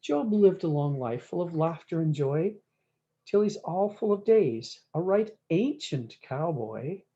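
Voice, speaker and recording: A woman's voice: on the low side, expressive, a little slow, warm tone, highs cut off. A woman storyteller reading a rhyming cowboy-dialect storybook aloud in a folksy way, drawing out words for emphasis. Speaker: storyteller reading a picture book.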